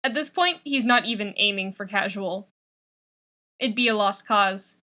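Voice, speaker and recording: A woman reading a piece of fiction aloud as an expressive scripted narration. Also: medium pitch, measured pace. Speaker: fan fiction reader.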